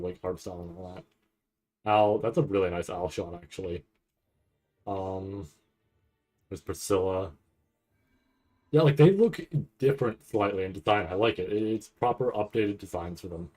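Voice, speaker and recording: A man giving casual, off-the-cuff commentary on a video, thinking aloud in a halting way and often repeating himself. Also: low-pitched, a little mellow. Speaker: anime reaction streamer.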